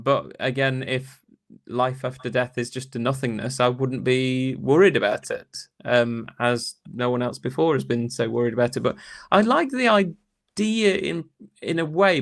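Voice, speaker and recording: A man's voice: medium pitch, moderate pace, fairly bright tone. A man speaking as an academic interview guest, telling anecdotes in a thoughtful, rambling, conversational way.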